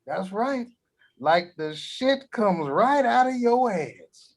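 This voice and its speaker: An actor playing a crude, cranky old grandfather at a table read, talking gruff and vulgar. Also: high voice, big pitch swings, slow pace, full character voice.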